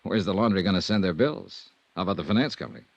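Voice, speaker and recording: A man's voice: low voice, animated, quick pace, vocal fry, no echo, exaggerated voice. A dogged American insurance investigator from old radio detective drama. His delivery is wry and conversational, rising and falling freely. The vintage recording is muffled and lo-fi. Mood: wry, persistent. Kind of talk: 1950s radio detective drama acting and narration.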